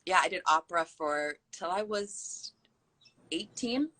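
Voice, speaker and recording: A woman's voice: on the low side, animated, unhurried, noisy background. A young adult woman hosting a casual interview, affirming and asking probing questions. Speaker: podcast host.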